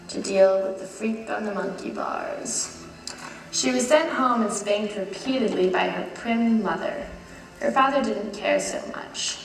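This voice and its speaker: A woman reads a piece of literary prose aloud. Her voice has a gravelly fry to it and sounds distant and very roomy, as in a theatre. Music plays along behind her. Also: mid-range voice. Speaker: reader of a literary text. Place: large dark theatre, heard from far off. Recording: distant, echoey stage recording with music.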